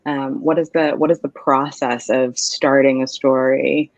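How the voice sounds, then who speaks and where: A thoughtful woman interviewer in her thirties asks careful questions from a home office, pausing on "um"s. Her video-call audio is dull and very dry, with little room sound. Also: extremely low pitch, fairly even intonation, no room sound. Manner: thoughtful, measured, with "um" fillers. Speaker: interviewer, event host. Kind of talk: interview conversation.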